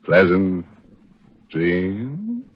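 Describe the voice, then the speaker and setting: A man playing a gleefully macabre, punning horror host on 1940s radio, with a mock-sinister, drawn-out delivery. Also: low-pitched, huge pitch swings, breathy edge, full character voice. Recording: dull vintage 1940s radio recording.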